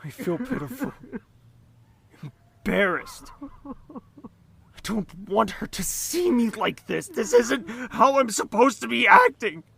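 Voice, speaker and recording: A performer in an audio drama playing a traumatised young prince, pleading and despairing, with raw emotion and halting delivery. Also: medium pitch, very animated, creaky, bright, forceful tone, heavily acted. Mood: anguished. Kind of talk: audio drama acting with inner monologue.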